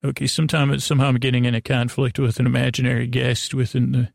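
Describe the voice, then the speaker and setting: A male podcast host with a creaky, gravelly voice at a medium pitch, recorded dry and close. He improvises a silly monologue, wandering off, stumbling over words and restarting, all with mock sincerity. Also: flat delivery, no echo. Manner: meandering, halting, stammering, trails off and restarts; silly, absurd, mock-earnest. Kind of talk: rambling improvised monologue addressed to an imaginary guest.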